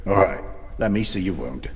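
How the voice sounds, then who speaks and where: A man's voice: low voice, fairly bright tone, in a large hall. An actor playing a huge, hearty, grey-bearded northerner in a fantasy audio drama, teasing and chuckling as he talks. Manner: hearty, teasing, chuckling through his talk. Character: huge boisterous jolly grey-bearded northern mountain of a man.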